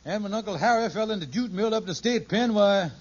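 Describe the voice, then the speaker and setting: An actor playing a scheming, put-upon husband in a 1940s radio sitcom, with folksy dialect and exasperated bluster. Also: male voice, high-pitched, fairly quick. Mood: rueful storytelling. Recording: old muffled radio recording, noisy studio audience.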